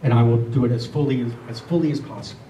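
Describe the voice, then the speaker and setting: A middle-aged or older man giving a reflective spiritual talk to a group, speaking earnestly and deliberately, often repeating phrases. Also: medium pitch, warm tone. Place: room with a listening group. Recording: roomy, distant mic, faint noise.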